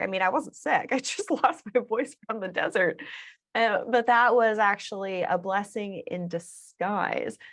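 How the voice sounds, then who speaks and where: A woman with a mid-range voice talks as a podcast guest. She speaks reflectively and often turns her stories into advice for the listener. She tends to slip soft laughs in between her points. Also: medium pitch. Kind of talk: casual conversational interview.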